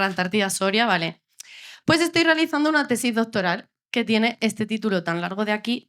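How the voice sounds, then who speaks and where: Young spanish woman, la mancha accent, fairly high voice, chatty and jokey, keeps saying vale. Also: a bit bright. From Spain, Ciudad Real. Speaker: doctoral researcher giving a public talk. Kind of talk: informal science talk to a bar audience. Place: bar venue with a live audience.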